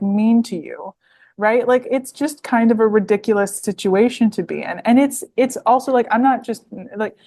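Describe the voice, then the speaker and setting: A woman's voice: fairly high pitch, dark tone. A woman, talking as a podcast guest, full of fillers and restarts. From United States. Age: 20s. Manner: rambling, heavy with fillers and restarts. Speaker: guest author. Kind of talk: podcast interview.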